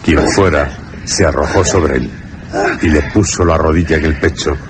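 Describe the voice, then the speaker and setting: A man's voice: very deep voice, moderate pace, very noisy. A man narrating a suspense and horror radio drama in a scripted, dramatic style. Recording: radio drama with loud music behind. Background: suspense music.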